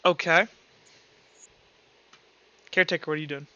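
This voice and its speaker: A man's voice: on the high side, fairly even intonation, moderate pace, bright, forceful tone, muffled recording. A man casually running a tabletop roleplaying game as game master, asking players for their moves. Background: music.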